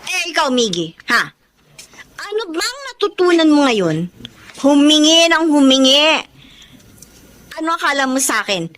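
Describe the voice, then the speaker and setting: A woman's voice: high voice, sing-song, measured pace, long pauses, a bit bright, slight background noise, exaggerated voice. An actress playing a fed-up young eldest daughter who carries her family, emotional and reproachful, in a Filipino melodrama. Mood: reproachful, stern. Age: young adult. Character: fed-up breadwinner eldest daughter, resentful but dutiful. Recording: music behind the voice.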